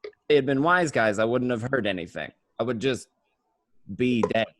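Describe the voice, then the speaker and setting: A man's voice: mid-range voice, lively intonation, normal speed, noisy background, close to an everyday voice. An actor playing a hot-headed, anxious gangster in a radio play, telling his story in profane, stammering bursts. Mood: resigned recounting. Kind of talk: first-person crime narration and dialogue, radio play.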